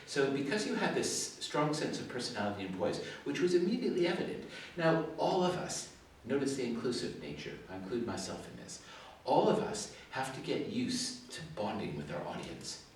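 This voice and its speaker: A man's voice: medium pitch, sing-song, normal speed, hardly any pauses, a little hiss or hum. Chatty older man with a Suffolk-Canadian accent. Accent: Suffolk English with Canadian traces. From England, early life in Canada. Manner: chatty and digressive, self-deprecating asides. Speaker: competition adjudicator. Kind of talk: spoken feedback to performers. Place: school music hall. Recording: very echoey hall, distant mic.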